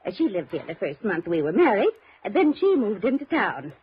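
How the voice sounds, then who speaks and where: An actress playing a sugary, genteel older widow who rambles on politely in a 1950s radio drama. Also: female voice, medium pitch, very animated, fairly quick, a little hiss or hum, close to an everyday voice. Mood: chatty, sweet. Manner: fluttery, rambling, folksy politeness. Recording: dull vintage 1950s radio recording.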